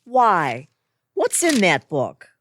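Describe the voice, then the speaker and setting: A woman's voice: lowish voice, huge pitch swings, very slow, fairly bright tone, a little hiss or hum, heavily acted. An actress playing a bewildered woman who has just died, pleading and defending herself, in a community audio drama. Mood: defensive. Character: newly dead, bewildered middle-aged woman.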